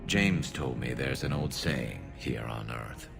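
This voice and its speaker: Super deep crackly alien soldier, wry and loyal, video game cutscene, flangey voice, music behind. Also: male voice, animated, slow pace, full character voice. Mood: wry, tender. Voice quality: gravelly, flanged alien rasp. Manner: dry, wry humour. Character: battle-hardened male Turian alien soldier, wry and devoted. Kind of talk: cinematic video game voice acting. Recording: reverberant game audio under loud music.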